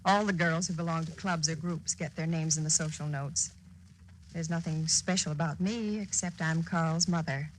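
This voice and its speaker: A woman's voice: deep voice, fairly even intonation, normal speed, lightly acted. An actress playing a proud, matter-of-fact middle-aged American mother from a small town in a 1940s radio drama. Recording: noisy old 1940s radio recording.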